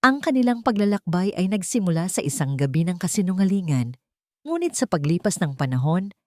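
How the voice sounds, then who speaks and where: A woman reading scripted romance narration as a storyteller, in a measured, sentimental way, phrase by phrase. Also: lowish voice, a little mellow, no room sound, studio quality.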